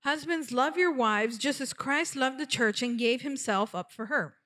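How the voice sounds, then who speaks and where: A woman's voice: sits high, unhurried, pushed and bright. A woman interpreting a sermon phrase by phrase, sometimes restarting to correct her wording.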